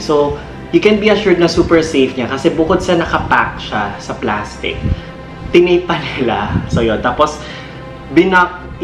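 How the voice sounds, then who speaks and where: A Filipino food vlogger chatting casually through a food review while eating, switching between Tagalog and English mid-sentence. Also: medium pitch. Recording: roomy echo, music underneath. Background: background music.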